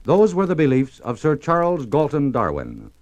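A man's voice: on the high side, wildly swooping pitch, normal speed, darkish tone. A man presenting a 1950s radio programme, reading a scripted introduction in a formal announcer's manner.

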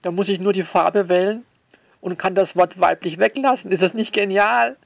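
A man delivering a sarcastic spoken essay to listeners, theatrical and mock-indignant, leaning on words with drawn-out emphasis. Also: high-pitched, vocal fry, no echo. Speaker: author commenting on their own novel.

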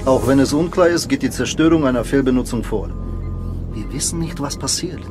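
A man's voice: medium pitch, fairly quick, over music, lightly acted. A man playing a grave, decisive spaceship commander in a radio drama, formal and authoritative. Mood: tense, resolute.

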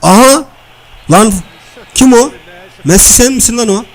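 Casting: male performer as crude, high-voiced comic everyman, slangy and blustering, nagging through cartoon game banter. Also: measured pace, poor audio. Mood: loud, outraged. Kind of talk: comic video-game banter and match commentary.

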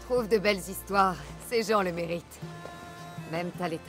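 A woman plays a battle-worn female mercenary with a slightly low voice. She is calm and frank in a video game scene, over loud music. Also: normal speed, close to an everyday voice. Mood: warm, composed. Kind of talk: French video game dub acting.

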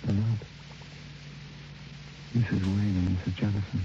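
A man's voice: fairly low pitch, flat delivery, measured pace, natural voice. An actor playing a nervous, polite man in an old radio drama, with a hesitant, musing manner. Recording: hissy, dull old radio recording.

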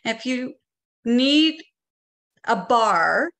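A woman's voice: on the high side, lively intonation, drawn-out words, fairly bright tone. A middle-aged woman explaining things to an online club with enthusiasm and emphasis. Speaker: workshop presenter. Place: living room on a video call.

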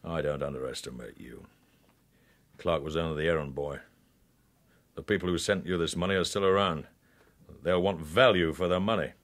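A very low-pitched commanding spy boss from a radio crime drama. He speaks with measured authority and a steady undertone of menace and suspicion. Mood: measured threat.

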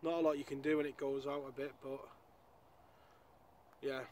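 A man's voice: medium pitch, flat delivery, moderate pace, a little mellow, a little hiss or hum. A young man chatting casually about the fish he catches, recorded outdoors on a pond bank with an action camera nearby. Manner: chatty, upbeat, with frequent fillers. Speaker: angler vlogger. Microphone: action camera mounted nearby.

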